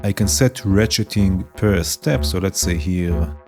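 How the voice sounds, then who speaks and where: A man hosting a modular synth tutorial, explaining modules in a relaxed way and often saying "right" and "let's say". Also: low-pitched, unhurried. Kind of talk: demonstrating modules while talking. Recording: music playing behind the voice.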